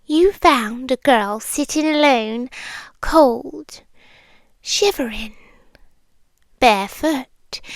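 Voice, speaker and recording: A British woman voicing a sweet, possessive young monster girl in an intimate ASMR roleplay, speaking softly and deliberately and often dropping into a breathy whisper. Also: sits high, no room sound, full character voice. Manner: soft, breathy, deliberate, with frequent drops into whispering.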